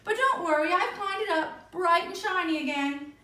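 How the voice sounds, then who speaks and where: A woman voicing fond, lively elderly great-aunts in a children's storybook, doting and given to exclaiming. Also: extremely high pitch, moderate pace, voice pushed up. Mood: reassuring. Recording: echoey room, distant microphone.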